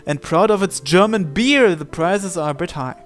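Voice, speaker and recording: A man's voice: high-pitched, expressive, moderate pace. A man reading visual novel text aloud as a narrator, with game music behind him.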